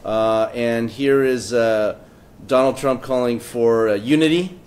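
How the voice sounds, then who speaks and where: A man who is a political cartoonist, speaking on a panel, joking wryly and pausing often with fillers. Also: mid-range voice, darkish tone. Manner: wry, joking, halting with many fillers. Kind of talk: casual panel talk presenting his work.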